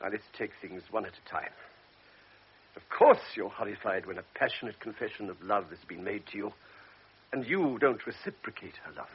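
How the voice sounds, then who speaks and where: An actor playing a calm, authoritative older doctor in a radio drama, speaking in a measured, persuasive way. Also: male voice, fairly low pitch, breathy edge. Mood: grave. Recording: muffled old radio recording.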